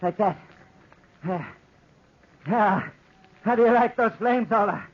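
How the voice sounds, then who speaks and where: Tormented madman, high voice, frantic, obsessive repetition, radio horror acting, dull hissy 1940s recording. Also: slow, heavily acted. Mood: manic. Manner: frantic, obsessive, repeating phrases.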